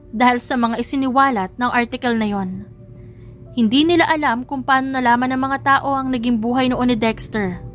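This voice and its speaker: A woman reading a scripted romance story as a dramatic audiobook narrator. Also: a little high.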